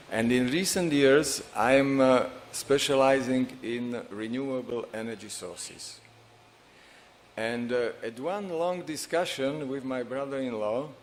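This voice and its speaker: A middle-aged man with a Slovak accent giving a conference talk, halting and full of pauses, at a stage microphone. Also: mid-range voice, a little hiss or hum. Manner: halting, many filler pauses, non-native phrasing. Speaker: conference speaker. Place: large conference hall on stage.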